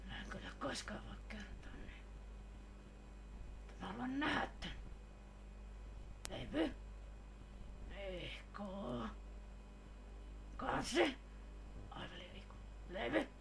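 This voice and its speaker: A woman voicing an excitable, whiny young boy who gushes dramatically in an amateur comedy cartoon dub. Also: fairly high pitch, creaky, long pauses, slight background noise, full character voice. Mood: secretive, gushing. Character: excitable young boy, metal fan who secretly loves cute things.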